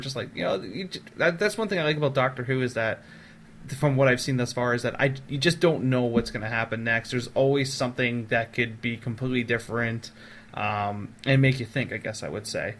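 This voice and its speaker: A man's voice: medium pitch, fairly quick, fairly bright tone. A man hosting a podcast in casual, conversational chat. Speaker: podcast host. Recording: noticeable background noise.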